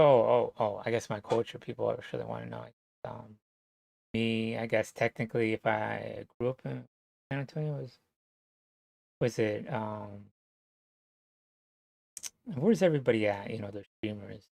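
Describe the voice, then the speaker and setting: A man from New Jersey talking to camera in a rambling, casual monologue, halting and full of fillers, swearing now and then. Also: fairly low pitch, fairly even intonation, vocal fry, darkish tone, dry recording, poor audio. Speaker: vlogger.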